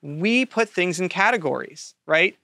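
A man's voice: high voice, expressive, a little slow, gravelly, bright, forceful tone, no echo, clean studio sound. A man explaining ideas in casual talk, with nerdy enthusiasm and frequent check-ins with his listener.

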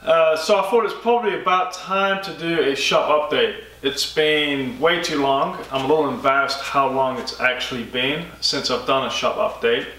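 A man in his thirties, a furniture maker giving a casual update to camera from his woodworking shop, talking in a halting way with many ums. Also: a little high, sharp tone. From United States. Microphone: camera mic a few feet away. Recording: echoey workshop, mic at a distance.

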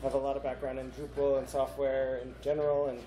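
A man's voice: mid-range voice, monotone, quick pace, poor audio. A man from upstate New York introducing himself at a conference in a casual, slightly hesitant way. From Albany, New York. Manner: casual, brief, a little hesitant. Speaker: conference co-speaker. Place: conference room. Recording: audience noise in the room.

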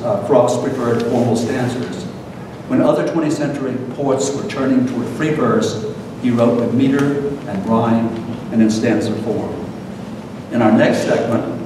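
An older man giving a scripted public lecture as the host of an event. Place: meeting room, at lectern, far camera. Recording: very echoey hall from far off, noisy rumble.

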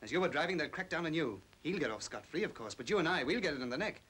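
An actor playing a smooth, manipulative upper-class English captain in his thirties in a 1940s British film drama. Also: a little high, lively intonation, racing, fairly bright tone. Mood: pressing, cynical. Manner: suave, persuasive. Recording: hissy old film soundtrack.

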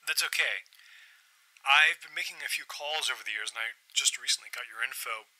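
A man's voice: mid-range voice, sharp tone, rough recording. Actor as hesitant, persistent man with a mystery obsession. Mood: polite, hesitant. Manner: hesitant, apologetic, halting. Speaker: actor in an audio drama. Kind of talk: naturalistic audio drama acting.

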